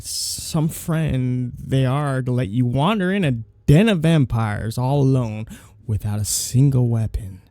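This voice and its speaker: A man playing a suave vampire lord in an intimate ASMR roleplay, teasing and condescending in a slow, menacing purr. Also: medium pitch, creaky, warm tone, dry recording, clean studio sound, heavily acted. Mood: predatory, flirtatious.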